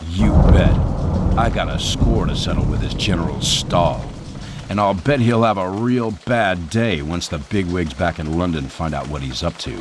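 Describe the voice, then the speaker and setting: An actor playing a cocky American pilot captain in a video game, with an American accent and a breezy, sardonic way of talking. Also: male voice, low-pitched, lively intonation, normal speed, background noise. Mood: determined. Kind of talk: video game cutscene acting.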